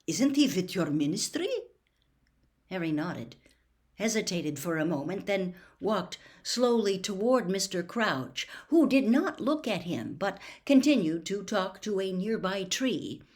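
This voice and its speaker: A woman's voice: medium pitch, lively intonation, measured pace, darkish tone. A woman reading a novel aloud as scripted storybook narration. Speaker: audiobook reader.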